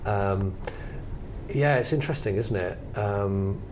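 A man's voice: lowish voice, slow, background noise. A man co-hosting a podcast, talking in a reflective, explanatory, conversational way. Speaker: podcast host and comedy academic.

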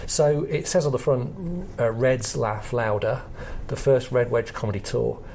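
A man's voice: mid-range voice, unhurried, creaky, darkish tone, a little hiss or hum. A British man hosting a podcast in a conversational, explanatory style. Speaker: podcast host, comedy academic.

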